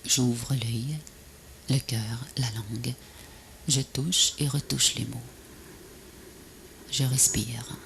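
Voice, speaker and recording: A woman reads a poem slowly in short, solemn phrases. Her voice is gravelly with fry and breathy, often close to a whisper. The old tape recording carries clear background noise. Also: very deep voice, fairly even intonation, a bit bright. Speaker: poet narrator of a video poem. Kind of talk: poetic recitation, scripted voice-over. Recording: noisy VHS transfer, ambient noise.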